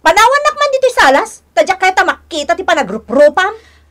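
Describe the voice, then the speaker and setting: A woman acting a lazy, scolding young wife and harsh aunt in a radio drama, sharp and complaining. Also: very high-pitched, very animated, a little fast, full character voice.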